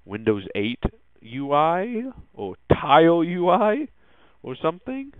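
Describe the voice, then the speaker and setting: A man hosting a casual tech news podcast, talking in a halting, hesitant way. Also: fairly high pitch, huge pitch swings, no echo. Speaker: podcast host. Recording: muffled, phone-like narrowband audio.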